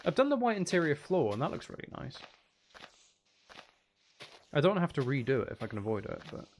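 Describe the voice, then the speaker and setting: A man's voice: on the high side, very animated, a little fast. A man giving casual live gameplay commentary, rambling and thinking aloud as he goes. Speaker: gaming streamer. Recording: faint background noise and clicking.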